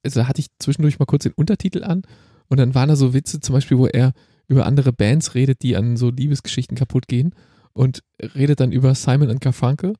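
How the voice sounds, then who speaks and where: A man co-hosting a podcast in casual chat, relaxed and explaining at length. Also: mid-range voice, fast, no room sound, clean studio sound. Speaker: podcast co-host.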